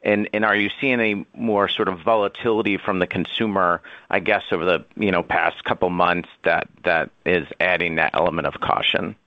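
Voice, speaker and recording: A low-pitched man poses questions as an analyst on a conference call. He hedges and feels his way through each question. The audio is slightly dull and very dry. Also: steady tone, moderate pace, hardly any pauses, dry recording. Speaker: financial analyst asking questions.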